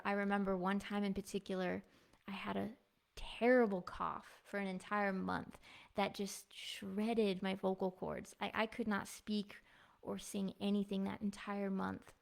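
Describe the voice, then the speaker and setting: A woman in her thirties giving a heartfelt personal devotional testimony in an earnest, soft-spoken way. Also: medium pitch, vocal fry. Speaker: devotional speaker and music minister. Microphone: clip-on mic.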